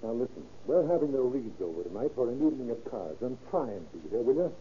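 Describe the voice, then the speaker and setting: An American actor playing a stern, fed-up, protective lodger who scolds bluntly, in 1930s radio drama style. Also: male voice, mid-range voice, sing-song, fast, warm tone, natural voice. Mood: curt. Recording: scratchy, tinny old radio recording.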